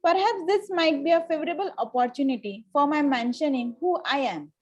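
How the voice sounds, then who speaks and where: High voiced woman acting a posh-mannered young victorian lady, haughty n gushy, stage play read-through. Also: lively intonation, a little fast, a little mellow, dull audio. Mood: haughty, pronouncing. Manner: formal, pronouncing, self-assured. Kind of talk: amateur play table read.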